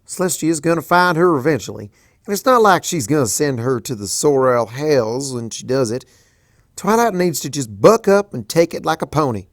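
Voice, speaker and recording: A blunt country farm pony mare talks in a brash, folksy way, as a reader puts her on. Her lines come out louder and punchier than the narration, recorded dry and close. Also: medium pitch, lively intonation, normal speed, dry recording, pitched above the performer's own voice, close to an everyday voice. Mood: firm.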